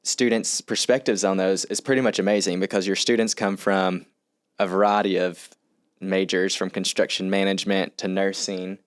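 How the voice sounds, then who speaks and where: Clear, lowish American man, informal. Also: flat delivery, moderate pace. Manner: loose, rambling phrasing with frequent "like" and "you know". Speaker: university real estate instructor, podcast guest. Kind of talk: casual, informal explanation.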